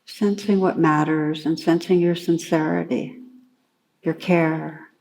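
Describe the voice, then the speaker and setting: A woman meditation teacher leading a guided meditation in a calm, unhurried way, gently instructing with long pauses. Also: sits low, creaky, poor audio.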